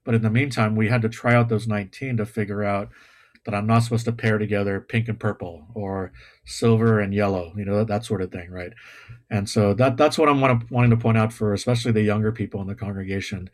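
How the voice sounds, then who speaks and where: A man preaching a sermon with a somewhat low voice. He talks in a loose, conversational way and makes self-deprecating jokes and pop-culture references. He is speaking to a congregation gathered online. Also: a little mellow. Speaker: pastor. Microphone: video call or livestream.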